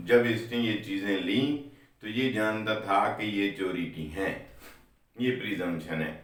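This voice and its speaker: A man with a Pakistani accent explains the law like a lecturer, deliberately, repeating and rephrasing his points. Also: lowish voice, a bit bright. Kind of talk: explanatory law lesson mixing Urdu with English legal terms. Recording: very echoey room, distant microphone.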